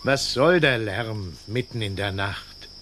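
An unbowed friar in a radio drama, his voice slightly low and moving in animated swings. He is frank and dignified, with faint night insects behind him. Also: slow pace, background noise. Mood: indignant. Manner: dignified, outspoken. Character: frank, fearless Franciscan friar, unbowed before soldiers. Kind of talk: German radio play acting. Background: faint night insect ambience.

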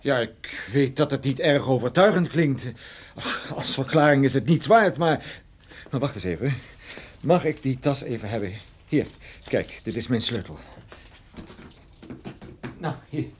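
A man's voice: medium pitch, lively intonation, unhurried, long pauses, husky. A man playing a private detective in a radio drama, plain-spoken and on the defensive. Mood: defensive, puzzled. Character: male private detective suspected of smuggling, defensive.

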